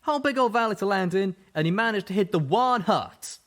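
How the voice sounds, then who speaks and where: A man voicing a brash young male pegasus gunslinger who talks loudly and teases his friends. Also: high-pitched, expressive, a little fast, voice pushed up. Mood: teasing. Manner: loud, cocky, teasing.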